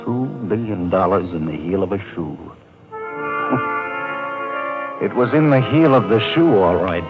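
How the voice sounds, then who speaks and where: A man's voice: sits low, lively intonation, unhurried. An actor playing a cynical ship's doctor who narrates his adventure in a wry, clipped, mid-century radio style. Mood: weary, wry. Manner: wry, clipped, hard-boiled. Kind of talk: 1940s-50s radio acting, first-person adventure narration. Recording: muffled old radio recording, loud music.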